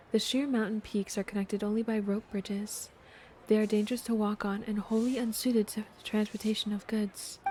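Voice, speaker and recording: A woman's voice: mid-range voice, mellow, a little hiss or hum. A woman livestreaming a game, chatting casually and reading the on-screen text aloud. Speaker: streamer. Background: game music.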